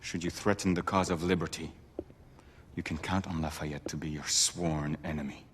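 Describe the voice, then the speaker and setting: Very low-voiced marquis. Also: male voice, steady tone, normal speed, slight background noise. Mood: stern respect. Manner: formal, theatrical. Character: proud, courtly French revolutionary marquis. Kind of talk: dramatic video game cutscene acting.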